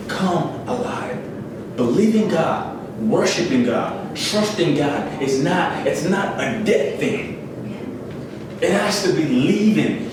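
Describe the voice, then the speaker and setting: Chatty male preacher, repeats himself, faint hiss behind, recorded from a distance. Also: high voice. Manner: conversational preaching with many repeated words and laughs. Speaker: pastor. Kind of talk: sermon. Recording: echoey hall, mic far off, faint noise.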